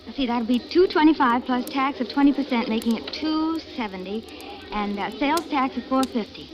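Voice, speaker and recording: A woman's voice: high voice, fairly quick, close to an everyday voice. A woman playing a coy young shop clerk. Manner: coy, wistful banter. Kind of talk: 1940s radio drama acting. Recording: dull old radio broadcast with faint hiss.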